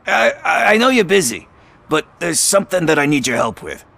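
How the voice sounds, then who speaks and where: A man's voice: fairly high pitch, big pitch swings, fairly quick, vocal fry, bright, forceful tone, heavily acted. An actor playing a streetwise male informer, sardonic and chatty, talking in casual slang with a wry edge. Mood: cajoling. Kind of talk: video game dialogue acting.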